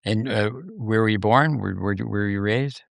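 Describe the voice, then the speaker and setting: A man's voice: on the low side, gravelly, dry recording, studio quality. A man hosting a tennis podcast, joking loosely with his guest and drawing out his questions with fillers. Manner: joking, folksy, drawn-out filler. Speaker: podcast host. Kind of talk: casual interview hosting.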